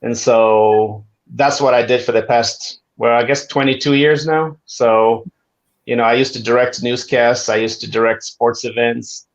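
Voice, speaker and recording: Brief: friendly Zambian man in his forties, easygoing storyteller, mid-pitched voice, dull lo-fi sound. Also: a little slow. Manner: relaxed, friendly storytelling with asides. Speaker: guest. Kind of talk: casual interview chat.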